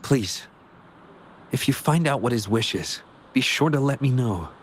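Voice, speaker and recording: A man's voice: mid-range voice, lively intonation, measured pace, slight background noise, lightly acted. A man voicing an earnest, caring young male researcher in a video game. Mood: worried, pleading. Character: earnest young male researcher worried for an estranged childhood friend.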